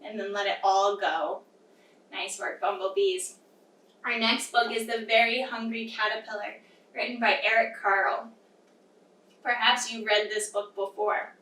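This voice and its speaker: A woman's voice: medium pitch, flat delivery, unhurried, pushed and bright. A young woman teaching a children's yoga storytime, giving simple, encouraging instructions and reading aloud to young children. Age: young adult. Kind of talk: guided kids' yoga lesson with read-aloud storytelling. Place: small indoor room with wooden floor. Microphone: camera across the room. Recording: roomy echo, distant microphone.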